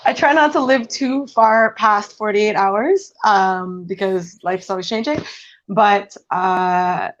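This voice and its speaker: A man's voice: high-pitched, slow, a bit bright, dull audio. A guest on a video call talking casually about his plans in a laid-back way. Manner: laid-back, short answers.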